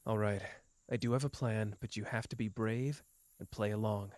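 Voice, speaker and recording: A man's voice: fairly low pitch, fairly even intonation, a little mellow, no echo, close to an everyday voice. A young man voicing a clever, protective young man in an ASMR roleplay, talking in a dry, teasing way close to the listener. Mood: urgent. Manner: dry, teasing, quick-witted, close and intimate.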